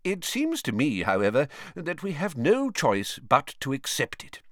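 A calm, authoritative old headmaster from a storybook, as a male narrator does him. He speaks courteously and firmly at a somewhat raised pitch. The recording is dry. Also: dry recording, exaggerated voice. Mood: calm authority. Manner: measured, courteous, firm.

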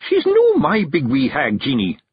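Man acting a husky, brooding Scottish fairy chief. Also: a little high, wildly swooping pitch, moderate pace, highs cut off, voice pushed up, exaggerated voice. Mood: earnest. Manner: gruff, dramatic, stubborn. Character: tiny male fairy clan chief, brooding and proud.

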